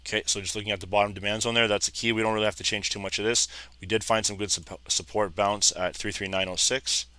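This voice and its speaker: A man in his thirties, a little hoarse, casually talking viewers through live trading charts and calling them "guys". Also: lowish voice, flat delivery, fast, sharp tone, no room sound. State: slightly hoarse, losing his voice. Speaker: trading educator. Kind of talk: live trading screen commentary.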